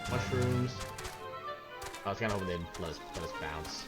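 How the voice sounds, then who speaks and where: A man's voice: sits low, expressive, moderate pace. A man giving casual live commentary as he streams a video game, chatty and playful. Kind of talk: casual live gameplay commentary with quest text read aloud. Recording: game music behind the voice. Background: video game music.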